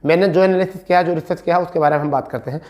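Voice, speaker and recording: A man's voice: a little high, fairly even intonation, very fast, darkish tone. A man teaching an informal online class, addressing students in a reassuring, instructive way and repeating key points for emphasis. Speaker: teacher giving exam updates to students.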